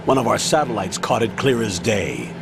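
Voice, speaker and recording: A stern military colonel in a video game, giving clipped, authoritative orders. Steady noise hums beneath his lines. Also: fairly low pitch, normal speed, creaky. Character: commanding older male colonel on the radio. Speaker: mission commander. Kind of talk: video game cutscene voice acting.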